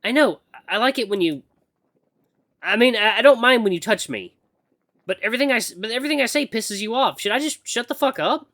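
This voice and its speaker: A man's voice: sits high, no room sound, clean studio sound, pitched above the performer's own voice, heavily acted. A man voicing a young apprentice runner who is flustered, sensitive and sweary, stammering and easily exasperated. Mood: apologetic, flustered. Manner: stammering, expressive, quick to get exasperated.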